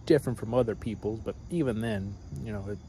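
A man in his thirties rambling hesitantly to a camera held close below his face, with plenty of fillers and trailing thoughts. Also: fast talker. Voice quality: fairly deep voice. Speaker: vlogger. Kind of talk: rambling casual monologue. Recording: loud cricket noise behind voice. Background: crickets and insects at night.

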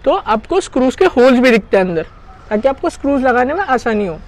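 A woman's voice: sits high, sing-song, quick pace, very noisy. A woman casually walking through a hands-on product installation, chatting as she works step by step. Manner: chatty, explains hands-on while working. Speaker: gear reviewer.

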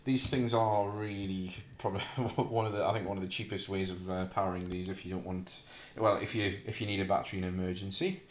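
A middle-aged British man casually demonstrating a homemade device in a hesitant, halting, unscripted way. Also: sits low, steady tone. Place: small hard-walled room indoors. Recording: echoey room, clicks and faint hiss.

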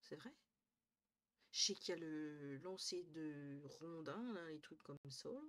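A woman's voice: sits low, measured pace, fairly bright tone. Tired young woman on her stream, headset on, rambling to chat, swearing a bit, mumbling under her breath sometimes. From northern France. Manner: chatty, swears casually, thinks aloud, drops to murmurs. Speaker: Twitch streamer. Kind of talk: casual live-stream chat with viewers. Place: small room at home, indoors.

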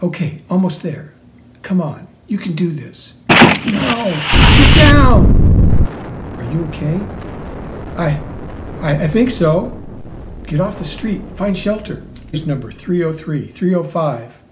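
A man's voice: high-pitched, sing-song, slow pace, pauses often. A man giving a theatrical read-aloud of comic book dialogue, acting out the parts. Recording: noticeable background noise.

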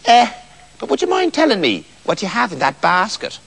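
A man voicing a mysterious, dirty little man from a fairy tale, courteous and measured. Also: high-pitched, huge pitch swings, creaky, fairly bright tone, slightly rough, exaggerated voice. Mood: polite.

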